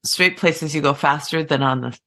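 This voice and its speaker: A woman's voice: low-pitched, fairly bright tone. A woman in her fifties co-hosting a podcast, chatting casually and laughing easily. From Upper Peninsula of Michigan. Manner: slow, laughs easily, short agreeing replies. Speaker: podcast co-host. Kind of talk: casual chat.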